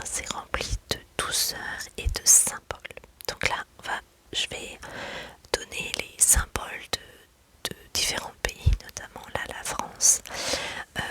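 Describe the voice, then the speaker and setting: A woman, a mother, whispering softly and hesitantly in a relaxed ASMR chat, pausing often on fillers. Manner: soft, hesitant, many fillers. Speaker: video creator.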